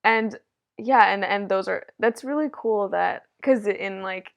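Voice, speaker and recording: Female host, slow, chatty, hesitant, with some vocal fry. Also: medium pitch, dull audio. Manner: chatty, hesitant, lots of fillers. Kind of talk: casual chat.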